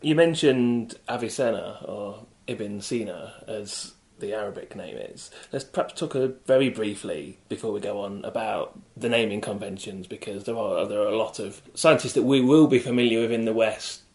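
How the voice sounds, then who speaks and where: A man hosting an interview, asking polite, measured questions. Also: on the low side, a little mellow. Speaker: interviewer, host.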